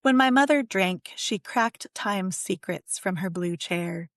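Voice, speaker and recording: A woman's voice: medium pitch, lively intonation, slow pace, no room sound. A woman with a mid-range voice speaks as a poet on a literary program. She is recorded dry, with hardly any room around her. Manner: effusive, conversational. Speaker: guest poet and editor. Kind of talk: casual literary conversation with poem reading.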